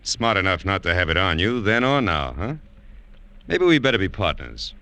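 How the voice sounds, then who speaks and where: A cocky American crook from a 1940s radio thriller. His voice is lowish but hard and bright, swinging breezily through slangy taunts. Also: big pitch swings, normal speed, exaggerated voice. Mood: sly, cocky. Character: cocky, smirking American tough-guy crook. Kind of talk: 1940s radio drama acting.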